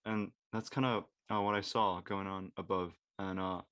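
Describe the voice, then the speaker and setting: Low-voiced man in hesitant, casual chat. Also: level tone, normal speed, fairly bright tone, no echo. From Georgia, United States. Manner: hesitant, searching for words.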